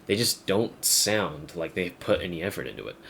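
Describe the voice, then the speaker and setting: A man's voice: low-pitched, very animated, moderate pace, creaky, fairly bright tone. A young man giving a casual, blunt, rambling, profane rant as a gaming video creator. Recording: faint background noise.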